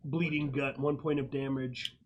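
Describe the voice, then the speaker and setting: A man's voice: medium pitch, level tone, moderate pace, muffled recording. A man casually refereeing a tabletop game as game master, explaining rules and describing the action. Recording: clicky, noisy tabletop room recording.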